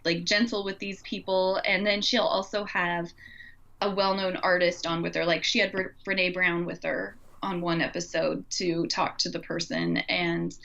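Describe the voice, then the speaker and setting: A woman's voice: fairly low pitch, flat delivery, moderate pace, vocal fry, fairly bright tone. Woman podcaster on dull, lo-fi audio. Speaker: podcast co-host. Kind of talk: casual conversational podcast chat.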